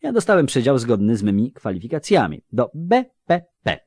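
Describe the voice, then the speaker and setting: A man's voice: mid-range voice, wildly swooping pitch, fairly quick, darkish tone, no room sound, pitched above the performer's own voice. A man voicing a haughty, bookish young man who speaks precisely and a little superior. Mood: dry.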